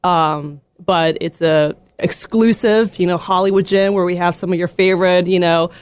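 A woman's voice: on the low side, steady tone, moderate pace, smooth, no room sound, studio quality. Upbeat chatty woman wrestler guest. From born in Armenia, raised in Los Angeles. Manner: upbeat, chatty, many fillers. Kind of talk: casual interview chat.

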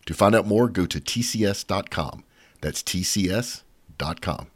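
A man reading a scripted ad in a polished, smooth manner. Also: sits low, expressive, normal speed, heavy background noise. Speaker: ad announcer. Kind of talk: scripted ad read.

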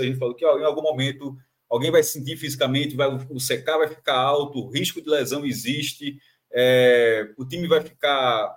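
A Brazilian man analyzing football on a panel show, animated and full of restarts and repeated phrases. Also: mid-range voice. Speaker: football commentator. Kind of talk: sports analysis panel chat.

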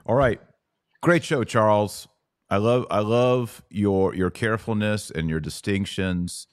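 Male podcast host, low-pitched, conversational interviewer, recorded close and dry. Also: measured pace, clear, resonant tone, no room sound.

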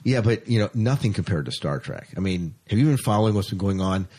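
A man's voice: lowish voice, expressive, fast, darkish tone, husky. A man co-hosting a podcast, chatting casually and enthusiastically about science fiction news. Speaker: podcast co-host. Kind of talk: casual sci-fi news chat.

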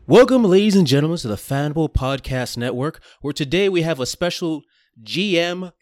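A male podcast host who keeps joking at his own expense. His voice sits a little high and the recording is close and dry. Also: animated, moderate pace, a little mellow, dry recording, faint music behind. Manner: joking, self-mocking. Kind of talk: casual podcast chat.

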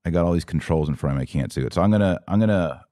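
American man, podcast host fielding live comments; very low voice, dry close-mic sound, conversational and questioning. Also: animated, very fast, dark tone, no room sound. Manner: conversational, poses rhetorical questions, thinks aloud. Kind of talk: live commentary, reading and answering viewer comments.

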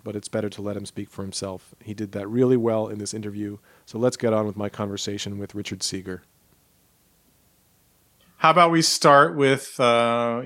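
Low-voiced man hosting a podcast, dry sound. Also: dry recording. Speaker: podcast host interviewing a guest. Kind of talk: scripted podcast introduction and conversational interview.

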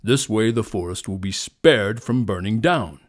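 A man voicing a mighty lion, king of the forest, with a deep, powerful, booming voice and solemn authority. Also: natural voice. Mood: commanding. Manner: solemn, slow, authoritative proclamations.

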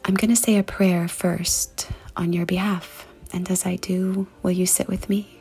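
Woman meditation guide, lowish even pitch, gravelly fry, hushed and deliberate, music behind. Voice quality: breathy, husky, creaky. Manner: soft, hushed, deliberate with pauses. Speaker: podcast host and meditation guide.

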